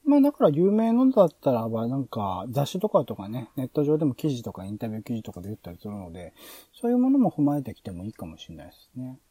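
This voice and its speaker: A fast-talking man with lively intonation and a husky, very relaxed tone. He hosts a podcast in a casual, discussion-like style. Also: medium pitch, no room sound. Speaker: podcast host.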